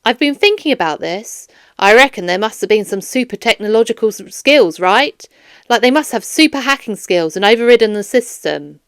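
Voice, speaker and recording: A woman's voice: on the high side, expressive, normal speed, clear, resonant tone, no echo, studio quality. A woman voicing a flustered, defensive male security guard in a children's audiobook, pleading and protesting emphatically. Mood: nervous. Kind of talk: children's audiobook character dialogue.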